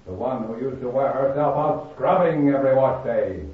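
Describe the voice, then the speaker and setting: An actor playing a solemn old spirit of the departing year in a vintage radio show, questioning in a grand, ominous manner. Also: male voice, medium pitch, normal speed, soft background music, natural voice. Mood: stern, approving. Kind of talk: 1940s radio acting. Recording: old radio, heavily echoing, distant, dull.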